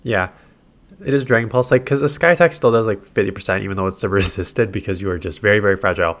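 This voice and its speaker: A man's voice: fairly low pitch, fast talker, a bit bright. Congested man commenting on gameplay. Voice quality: nasal. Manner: chatty, filler-heavy, thinking aloud. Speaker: gaming commentator. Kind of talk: casual play-by-play commentary over game footage.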